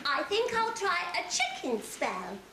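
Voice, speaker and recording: A woman's voice: very high voice, wildly swooping pitch, unhurried, pushed and bright, heavily acted. A woman playing a sweet, scatterbrained good fairy in an amateur pantomime. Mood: eager, flustered. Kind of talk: amateur pantomime stage acting. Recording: echoey hall stage, noisy old camcorder.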